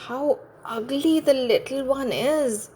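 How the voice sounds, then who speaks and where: A woman voicing a fussy mother duck in a children's storybook, deliberate and exclamatory. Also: sits high, warm tone, a little hiss or hum, pitched above the performer's own voice. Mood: surprised dismay. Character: mother duck, fussy parent of new ducklings.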